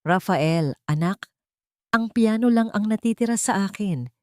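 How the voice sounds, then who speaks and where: A woman's voice: medium pitch, animated, measured pace, dry recording, studio quality, voice pushed up, natural voice. A woman voicing a frail, hurt elderly mother in an audio drama, weak and pleading.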